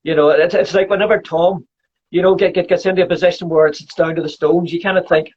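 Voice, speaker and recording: A Scottish man in his fifties hosting a casual chat, keen and quick to agree. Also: high-pitched, steady tone, a little mellow. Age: 50s. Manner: enthusiastic, quick to agree and laugh. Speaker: podcast host. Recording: muffled lo-fi audio.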